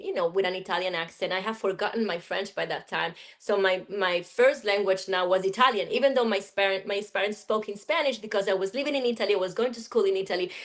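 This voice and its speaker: Woman telling stories in an interview. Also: medium pitch. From Colombia. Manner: warm storytelling with many fillers. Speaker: film director. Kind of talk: casual interview conversation.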